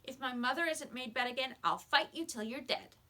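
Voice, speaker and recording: A woman's voice: high voice, a little fast, bright, forceful tone, voice pushed up. A woman voicing a frantic young boy in a storybook, pleading urgently and emphatically. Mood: angry, accusing.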